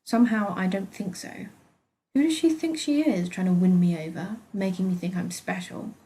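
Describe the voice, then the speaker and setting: A woman's voice: medium pitch, normal speed, warm tone, lightly acted, low-quality recording. A British woman voicing a petulant, sarcastic young female hospital patient in a dramatic first-person story reading, exasperated and huffy. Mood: sarcastic, indignant.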